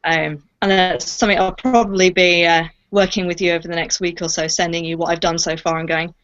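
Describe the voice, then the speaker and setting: A woman with a slightly low voice speaks as a voice actress in a casual Q&A. She is reflective and expressive, leaning on key words. The recording is dull and lo-fi. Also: a little fast. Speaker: voice actress in an audio drama. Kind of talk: casual Q&A chat.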